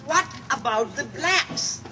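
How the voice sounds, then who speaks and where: A woman performing a comedic reading, playful and laughing, measured in delivery. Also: high voice, expressive. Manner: playful, laughing, slow and deliberate. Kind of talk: live comedic dramatic reading. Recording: distant mic in a noisy live room.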